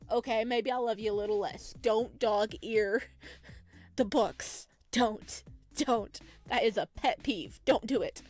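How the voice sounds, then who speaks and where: A woman reading a visual novel aloud as a let's play host, dropping chatty, expressive reactions between the narration. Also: on the high side, slow pace.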